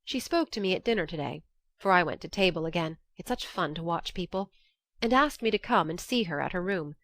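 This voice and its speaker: A woman's voice: fairly low pitch, expressive, fairly quick, a bit bright, no echo. A woman reading a classic novel in the first person as a candid, wry young letter writer. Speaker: audiobook narrator.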